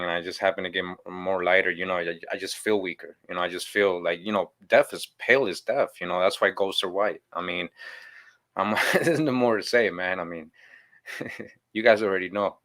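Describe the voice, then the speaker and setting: A man from Boston talks as a guest in a low-pitched voice with a sharp, forceful tone. His delivery is conversational and emphatic, in the loose style of a casual interview. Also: steady tone, brisk. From Boston, born in Costa Rica. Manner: emphatic, conversational, repeats "you know". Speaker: guest teacher.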